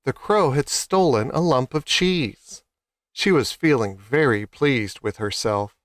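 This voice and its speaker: Clear, unhurried male storyteller. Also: medium pitch, lively intonation, a bit bright, no echo, studio quality. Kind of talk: scripted children's story narration.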